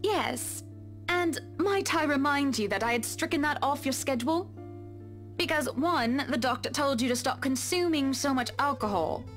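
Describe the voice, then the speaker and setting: An actress playing an insistent, refined wealthy wife with a prim, pointed manner in a visual novel game. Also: female voice, high-pitched, lively intonation, normal speed, faint music behind. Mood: firm, pointed.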